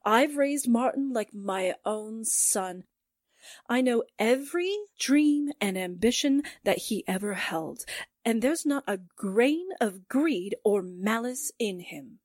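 A woman's voice: fairly high pitch, animated, takes it slowly, darkish tone, dry recording, studio quality. An actress playing a widowed mother fiercely protective of her son, in a melodramatic, pleading 1940s-style radio drama performance. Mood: solemn, protective.

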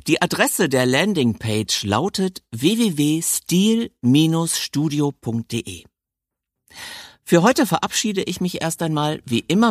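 A man hosts a podcast in a conversational way. His voice sits at a medium pitch and has a husky, less polished edge. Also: no room sound, studio quality. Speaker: podcast host. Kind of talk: conversational interview and podcast outro.

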